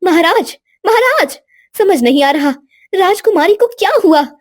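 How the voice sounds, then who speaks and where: A woman's voice: very high voice, animated, measured pace. A woman voicing an anxious queen mother who speaks formally and fretfully in a cartoon story. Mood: worried. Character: anxious queen mother, worried about her daughter. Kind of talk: Hindi cartoon moral story dialogue.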